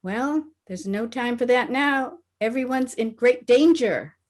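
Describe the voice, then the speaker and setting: Teenage schoolgirl played by a woman, radio sitcom. Excitable, earnest, on the high side. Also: unhurried. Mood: alarmed. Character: eager, excitable teenage schoolgirl, principal's daughter. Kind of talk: 1950s radio comedy acting.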